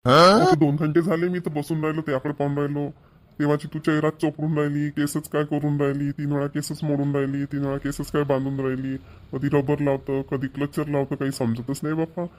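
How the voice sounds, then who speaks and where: A man's voice: on the high side, flat delivery, brisk, natural voice. A man voicing an impatient, thrifty young husband in a Marathi cartoon, with a Maharashtrian accent. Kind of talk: cartoon voice acting.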